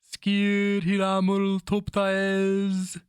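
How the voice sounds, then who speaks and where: Casting: male reader as a stooped old fantasy sorcerer intoning spells in a high, raised voice. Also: monotone, drawn-out words, smooth, dry recording, clean studio sound. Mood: incanting. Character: aged bald sorcerer chanting an incantation.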